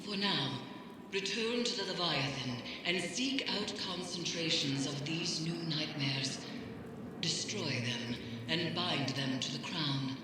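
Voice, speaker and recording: A woman's voice: deep voice, slow, background noise. A woman playing a solemn witch in a video game, with grave, portentous, incantatory delivery. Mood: grave, foreboding. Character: solemn, ominous witch leading dark rituals. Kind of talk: dramatic scripted video game acting. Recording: long hall reverb, clear background music.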